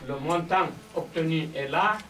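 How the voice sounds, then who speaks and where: Formal male master of ceremonies, courteous and measured, recorded from a distance with room noise. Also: fairly high pitch, fairly bright tone. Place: community hall.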